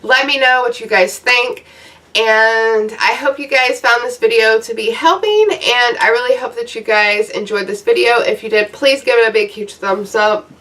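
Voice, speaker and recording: A woman in her thirties rants to her viewers, blunt and profane, drifting loosely from one point to the next. Her voice is bright and a little high, and it sounds like she sits back from the mic. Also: moderate pace, recorded from a distance. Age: 30s. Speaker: YouTuber. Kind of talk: casual advice rant to camera.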